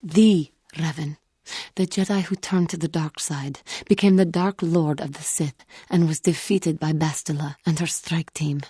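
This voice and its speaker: A woman playing an earnest, wounded alien warrior in a video game, speaking formally and gravely in measured phrases. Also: deep voice, fairly even intonation, dark tone, no echo, clean studio sound. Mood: solemn, resolute. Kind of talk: video game character dialogue.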